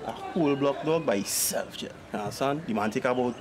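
Male actor as a relaxed Trinidadian street guy, street ambience audible. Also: medium pitch, moderate pace, noisy background, lightly acted. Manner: laid-back slang-filled talk. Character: young male street crew member.

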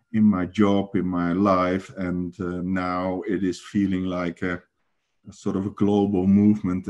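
A man speaks slowly and haltingly in a deep voice. His words come through a dull, lo-fi video call line. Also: darkish tone. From Netherlands. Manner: halting, with many fillers and restarts. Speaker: peer support worker. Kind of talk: heartfelt introduction.

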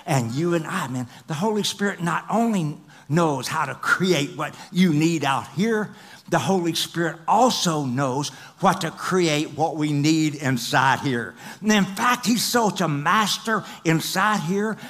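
A middle-aged man preaching a sermon on a large church stage into a headset mic, swinging from loud, excited passages to hushed whispers. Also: fairly high pitch, unhurried. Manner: paces the stage and gestures. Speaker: pastor.

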